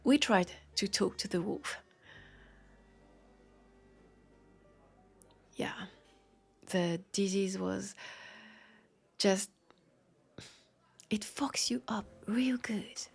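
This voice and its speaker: Slow, creaky French-accented vampire woman, acted. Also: mid-range voice, full character voice. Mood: grieving, pained. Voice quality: creaky, breathy. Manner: halting, hesitant, confiding, slipping into whispers. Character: immortal female vampire police detective, grieving, guarded, world-weary. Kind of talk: intimate roleplay audio drama monologue.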